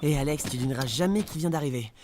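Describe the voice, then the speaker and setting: A man's voice: on the high side, very fast, darkish tone, a little hiss or hum, close to an everyday voice. An actor playing an awkward, chatty young man from a village, rambling eagerly in an audio drama. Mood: cheerful, chatty. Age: young adult.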